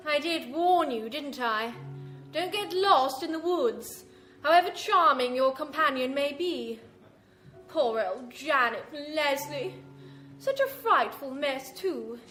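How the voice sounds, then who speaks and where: A prim, macabre British hostess of a classic horror radio show. She speaks high and slowly, arch and theatrical in her mock sympathy. The live stage recording is roomy and echoing. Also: fairly bright tone, full character voice. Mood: mock pity. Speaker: host. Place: theatre venue in front of an audience.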